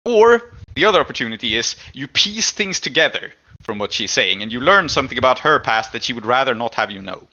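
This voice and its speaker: A man's voice: mid-range voice, very animated, fairly quick, few pauses, pushed and bright, highs cut off. A man running a tabletop game as game master, improvising the story and thinking aloud as he goes.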